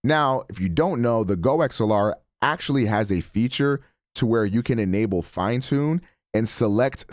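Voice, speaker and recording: Man, deep low voice, dry close broadcast mic, relaxed casual tutorial. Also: no room sound. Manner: relaxed, chatty, self-correcting. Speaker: streamer explaining mic settings. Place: home streaming setup. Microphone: studio broadcast mic.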